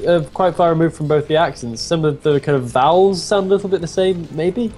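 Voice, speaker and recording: A man's voice: a little high, a little fast, darkish tone, soft background music. A man with a British accent co-commentating an esports match in a wry, self-mocking, chatty way. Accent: British English. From Britain. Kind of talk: casual co-commentary.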